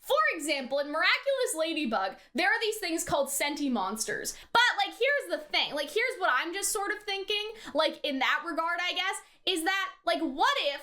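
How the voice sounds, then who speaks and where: A woman with an extremely high-pitched, bright voice talks through her thoughts in a casual discussion. She is excitable and emphatic, rambling from one point to the next. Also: moderate pace, hardly any pauses. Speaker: co-host of a reaction show.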